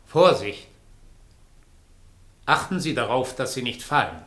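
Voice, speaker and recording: An actor playing a sinister, commanding doppelgänger in a German radio play, speaking in formal, insistent orders. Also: male voice, medium pitch, expressive, measured pace, background noise, close to an everyday voice. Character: sinister, commanding male doppelgänger, a calm murderer host. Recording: echoing room in radio drama.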